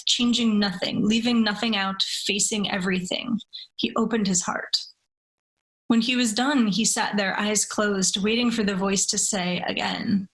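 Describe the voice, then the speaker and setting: A woman who speaks in a measured, deliberate way, with careful phrasing. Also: mid-range voice, a bit bright, low-quality recording. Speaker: story reader. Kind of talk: dramatic literary reading, then casual discussion.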